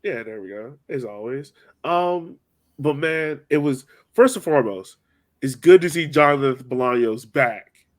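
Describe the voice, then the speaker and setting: A man's voice: mid-range voice, expressive, measured pace. A man hosting a sports podcast, chatting informally and slangily as a fan. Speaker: podcast host. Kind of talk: casual sports chat.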